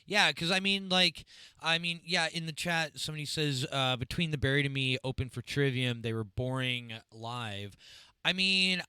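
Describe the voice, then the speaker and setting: A man's voice: a little high, moderate pace, bright, forceful tone, clean tone, no echo. A man hosting a casual podcast, chatty and full of fillers, reading chat comments aloud. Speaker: podcast host.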